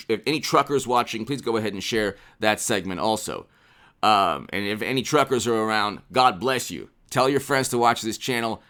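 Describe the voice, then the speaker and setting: A man's voice: on the low side, a bit bright. An American man in his forties hosting a commentary channel, speaking off the cuff into a desk microphone and repeating phrases for emphasis. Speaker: political commentary channel host. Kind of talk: unscripted political commentary to camera. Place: small indoor room with poster-covered walls. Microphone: desk condenser microphone, close.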